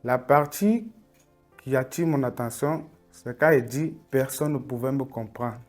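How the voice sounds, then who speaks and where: Earnest young man, soft music. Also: mid-range voice, unhurried. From Cameroon. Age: 20s. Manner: earnest, reflective storytelling, often dropping to a quiet, inward tone. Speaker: testimony speaker. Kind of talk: personal faith testimony.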